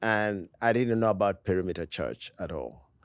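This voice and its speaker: A man from Uganda, a pastor as a podcast guest, talking in an upbeat, warm way and laughing easily in a studio. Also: deep voice, animated, moderate pace, clean tone, dry recording. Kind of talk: interview conversation.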